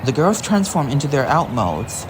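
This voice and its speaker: An amateur narrator reading a storybook aloud in a simple, earnest, slightly halting way. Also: mid-range voice, sing-song, very noisy.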